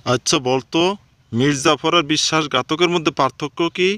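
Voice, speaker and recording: A man's voice: a little high, moderate pace, sharp tone, dry recording. A man voicing a stern, commanding schoolteacher in a comedy cartoon. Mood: quizzing. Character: stern male schoolteacher quizzing his cheeky pupils.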